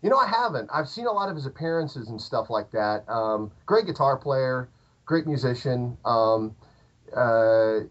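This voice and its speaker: Rambling southern Illinois musician, muffled. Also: male voice, medium pitch, lively intonation, unhurried. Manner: rambling, lots of fillers and restarts. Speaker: podcast guest, musician. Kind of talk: casual interview chat. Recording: dull lo-fi podcast audio.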